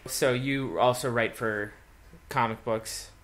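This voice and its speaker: A man hosting a casual podcast interview, asking curious questions and teasing his guest with quick follow-ups. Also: mid-range voice, fairly even intonation, a bit bright.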